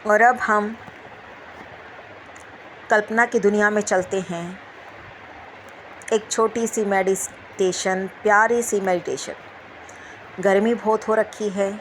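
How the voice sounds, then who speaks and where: A woman leading a guided meditation, giving gentle instructions with repeated phrases and long pauses. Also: medium pitch, steady tone, a little hiss or hum, poor audio.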